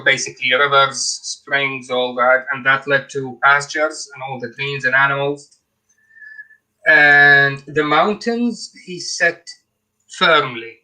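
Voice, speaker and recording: Co-host: young Iraqi-accented man, crisp and bright tone, halting delivery full of fillers. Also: mid-range voice, low-quality recording. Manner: hesitant, many fillers and restarts. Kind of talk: casual commentary on scripture.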